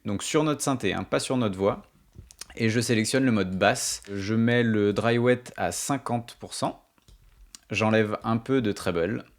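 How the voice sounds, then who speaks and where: A man hosting a music production tutorial, explaining things step by step in an informal, colloquial way. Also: lowish voice.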